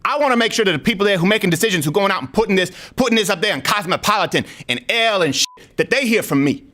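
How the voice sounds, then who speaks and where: A man's voice: high-pitched, expressive, very fast, sharp tone. A man voicing an indignant, aggrieved single mom in a prank phone call, rambling and repeating with slangy emphasis. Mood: offended, insistent. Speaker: prank caller.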